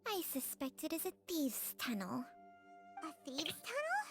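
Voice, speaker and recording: A woman voicing a small, chirpy, inquisitive childlike companion creature in a video game, with a squeaky, expressive delivery. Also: measured pace, heavily acted. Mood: curious. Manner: speaks of itself in third person, expressive. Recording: music playing behind the voice.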